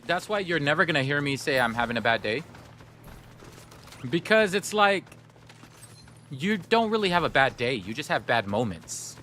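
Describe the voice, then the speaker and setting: A man's voice: mid-range voice, bright, forceful tone, a little hiss or hum. A man whose first language is Somali, chatting casually and upbeat with his live-stream audience while gaming. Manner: chatty, upbeat, talks straight to chat.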